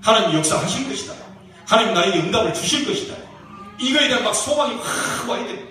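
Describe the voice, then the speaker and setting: A man with a regional Korean accent preaching as a pastor, exhorting emphatically with rhetorical questions and drawn-out key phrases. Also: high voice, expressive, sharp tone. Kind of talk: Korean church sermon, exhortation and prayer. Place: church sanctuary during a service. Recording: very echoey church hall, distant mic, faint noise.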